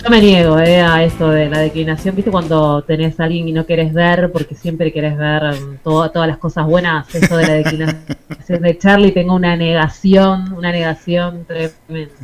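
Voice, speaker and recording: A woman's voice: low-pitched, flat delivery, moderate pace. Argentine woman hosting radio over music. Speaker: radio host. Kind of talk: conversational radio presenting. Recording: music playing behind the voice.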